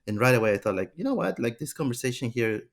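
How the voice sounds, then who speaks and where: A man's voice: mid-range voice, very animated, quick pace. A man hosting a podcast, talking in a loose, rambling way with many restarts. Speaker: podcast host. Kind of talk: casual podcast chat.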